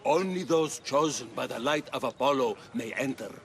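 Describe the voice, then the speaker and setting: An actor playing a curt, dismissive male temple guard with a gravelly voice. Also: medium pitch, moderate pace, crowd noise, lightly acted.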